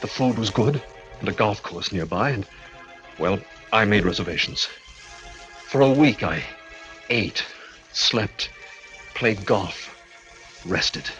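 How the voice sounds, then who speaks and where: An actor playing a guilt-haunted man in a 1940s radio thriller, melodramatic and anguished, moving between hushed narration and frantic outbursts. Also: fairly low pitch, lively intonation, slow pace, pauses often, poor audio. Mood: subdued, haunted. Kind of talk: 1940s radio suspense acting, first-person narration. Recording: old 1940s radio broadcast, music behind.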